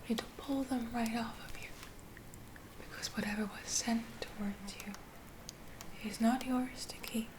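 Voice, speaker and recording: A woman doing a whispered ASMR roleplay as a gentle witch healer, soothing and reassuring in an unhurried manner. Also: a little high, fairly even intonation, background noise. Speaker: ASMR performer.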